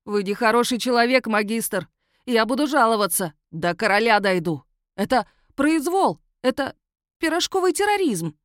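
A woman's voice: fairly high pitch, lively intonation, moderate pace, no echo, studio quality, voice pushed up, lightly acted. A woman voicing a whiny indignant werewolf man. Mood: whining. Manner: protesting, complaining, pleading. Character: indignant whiny male werewolf under arrest. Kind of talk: audiobook character voice.

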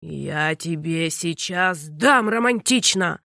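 A woman doing a gruff booming sea king. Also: fairly bright tone, no echo, studio quality. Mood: angry scolding. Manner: booming, scolding, drawn-out. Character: blustering gruff sea king, stern father.

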